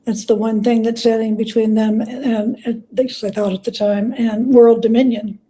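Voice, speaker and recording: A woman speaking as a guest scholar in an interview, explaining things conversationally in halting, thoughtful phrases with self-corrections. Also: fairly high pitch, flat delivery, mellow.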